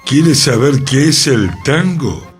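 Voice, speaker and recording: A man reading a 1920s Buenos Aires drinker who recites rhyming verse about tango slowly and with emphasis. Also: medium pitch, animated, soft background music, voice pushed up. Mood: declaiming.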